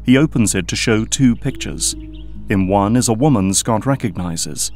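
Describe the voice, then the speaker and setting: Low-voiced male narrator. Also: lively intonation, normal speed, darkish tone, faint music behind. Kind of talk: scripted narration.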